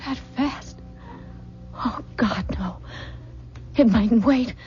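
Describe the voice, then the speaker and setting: An actress playing a desperate, anguished pregnant young bride in a melodramatic old-time radio horror play. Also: female voice, on the high side, very slow, dark tone, faint music behind. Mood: fearful, desperate. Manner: melodramatic, intense. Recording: dull, noisy vintage radio recording.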